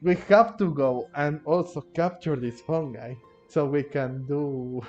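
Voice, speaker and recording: A man's voice: on the high side, a little slow, darkish tone. A man giving casual playthrough commentary on a game, with hesitant, repeating phrasing. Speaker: gaming video host. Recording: dull lo-fi microphone sound. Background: faint game music.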